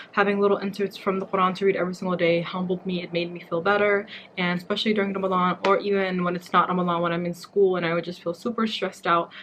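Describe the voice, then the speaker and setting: A woman's voice: on the low side, fairly bright tone, a little hiss or hum. A young woman whose first language is Somali, vlogging earnestly to her viewers and often calling them "you guys.". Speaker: beauty and lifestyle vlogger. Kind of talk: casual talk to camera.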